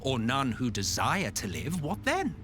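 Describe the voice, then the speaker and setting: An actor playing an imperious, sceptical ancient official in a game cutscene, speaking in a formal, theatrical way. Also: male voice, mid-range voice, huge pitch swings, unhurried, fairly bright tone, slight background noise. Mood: probing, sceptical. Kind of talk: video game cutscene acting.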